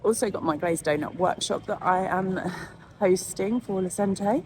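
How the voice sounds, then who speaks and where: A woman's voice: mid-range voice, moderate pace, a little mellow, a little hiss or hum. A woman from England narrating her trip to a trade show, chatty and upbeat, a bit bunged up. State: stuffy, bunged up. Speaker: vlogger and nail educator. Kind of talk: casual vlog narration.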